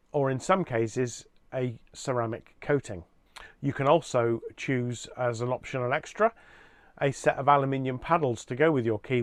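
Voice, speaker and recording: A man with a medium-pitched voice presents a product range in a measured, explanatory style. He moves through points in an orderly fashion. The sound is dry and close, with very little room in it. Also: no room sound.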